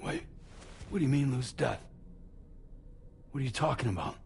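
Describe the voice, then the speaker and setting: A man's voice: medium pitch, normal speed, gravelly, noisy background. An actor playing a middle-aged grieving father who lost his child, in a video game cutscene, speaking with urgent disbelief. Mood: agitated. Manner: urgent disbelief turning to flat resignation. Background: music score.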